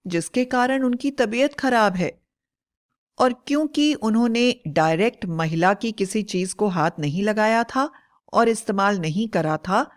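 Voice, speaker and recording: A woman tells a scripted story for children. Her pace is slow and deliberate, in a medium-pitched voice, as a storyteller narrating a moral tale.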